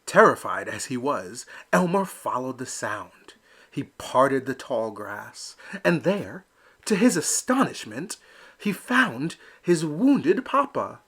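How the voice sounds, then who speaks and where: A man reading a children's picture book aloud with slow, expressive storytelling. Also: mid-range voice, breathy edge. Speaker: storybook reader. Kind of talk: children's read-aloud narration.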